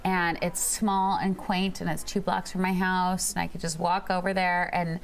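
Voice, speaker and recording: A woman's voice: lowish voice, normal speed. A woman in her thirties gushing about a local restaurant at a studio dinner table. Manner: gushing, animated. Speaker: guest reviewer. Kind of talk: casual dinner-table chat.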